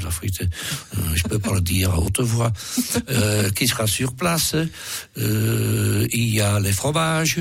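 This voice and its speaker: A man's voice: fairly low pitch, gravelly, husky, no echo, clean studio sound. A man with a cold, a radio interview guest who speaks hesitantly with many restarts. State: has a cold. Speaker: association president, food truck owner.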